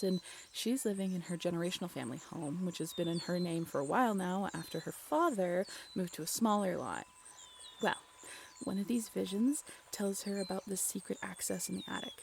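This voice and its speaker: A woman playing an excitable young woman in an audio roleplay, telling a story casually with conversational asides. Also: medium pitch, normal speed, creaky, slight background noise, close to an everyday voice. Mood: engrossed, chatty. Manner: informal, giggly, conversational asides with rhetorical questions.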